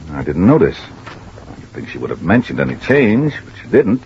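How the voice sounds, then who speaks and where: A man's voice: medium pitch, sing-song, moderate pace, creaky, darkish tone. An actor playing a talkative, businesslike male insurance man from the West in a 1950s radio drama. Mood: puzzled, businesslike. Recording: dull, noisy vintage radio recording.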